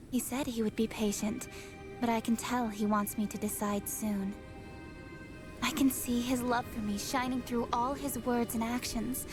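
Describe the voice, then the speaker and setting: A woman voicing a torn young princess in a game cutscene, in a quiet inner monologue. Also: a little high, lightly acted.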